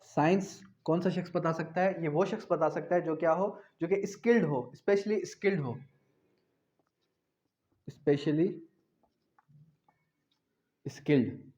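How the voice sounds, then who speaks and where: A man's voice: fairly high pitch, measured pace, long pauses, darkish tone. A man with a Pakistani accent giving an explanatory law lecture, mixing in English terms, repeating phrases and posing questions that he then answers. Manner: didactic, repeats phrases and asks himself questions. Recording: dull, lo-fi sound.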